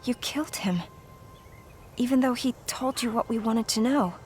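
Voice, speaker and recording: Woman acting bitter young heroine, highish voice, defiant, accusing, dramatic fantasy game, faint music. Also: moderate pace, natural voice. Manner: intense, accusing. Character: defiant young woman, bitter, fearful former prisoner of beasts.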